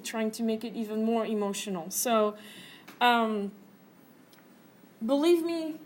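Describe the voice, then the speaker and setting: Earnest woman teacher lecturing a class. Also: a little high. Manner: earnest, many fillers and tag questions.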